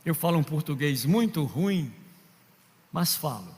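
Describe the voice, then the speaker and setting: A man's voice: on the high side, sing-song, measured pace. Preaching man in a reverberant hall. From Northeast Brazil. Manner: storytelling, acts out quoted voices, builds to shouting. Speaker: pastor. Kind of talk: preaching sermon with anecdotes. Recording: long reverb of a large hall.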